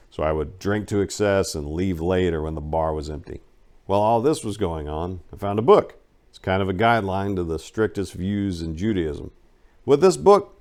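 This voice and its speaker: A man with a fairly low voice narrates a first-person memoir as a dramatic audiobook reading. He tells it in a wry, conversational way, like personal storytelling. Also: a little mellow.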